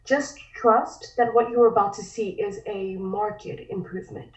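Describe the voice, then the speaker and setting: A woman's voice: medium pitch, steady tone, unhurried, echoey room, muffled recording, natural voice. A woman playing a discreet, uneasy sanitarium orderly in a script table read, polite and hesitant. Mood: discreet, guarded.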